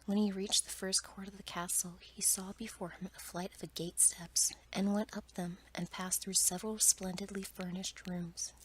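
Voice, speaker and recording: A woman whispers a fairy tale in a soft, breathy, careful ASMR bedtime-storytelling style. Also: mid-range voice, little pitch movement, normal speed, few pauses, a little hiss or hum, low-quality recording. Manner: soft, careful read-aloud delivery.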